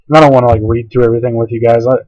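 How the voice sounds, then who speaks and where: A hoarse man casually talking through a software tutorial, rambling and hesitant with lots of fillers. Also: medium pitch, steady tone, fast, no room sound, dull audio. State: hoarse, voice going out. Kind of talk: casual screen-recorded tutorial.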